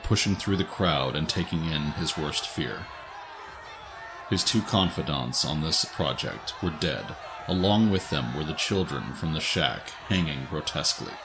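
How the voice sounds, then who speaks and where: A man's voice: extremely low pitch, background noise. A man reading scripted, dramatic story narration as the narrator of an audio drama. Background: faint music.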